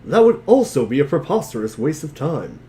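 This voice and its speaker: Man reading theatrically with lively pitch swings. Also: brisk. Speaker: reader in a group dramatic reading. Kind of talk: dramatic reading of narration and dialogue with casual asides.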